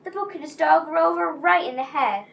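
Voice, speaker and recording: A woman's voice: high voice, moderate pace, creaky. A woman playing a cheerful, folksy cowgirl in an old-time radio advertising skit. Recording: roomy, distant microphone.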